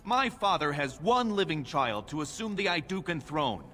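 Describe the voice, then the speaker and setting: Game role: haughty dwarf prince, forceful bright tone, animated range, commanding and impatient. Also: male voice, on the high side, normal speed. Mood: indignant demanding. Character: proud, impatient dwarf prince claiming his throne. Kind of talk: video game character acting.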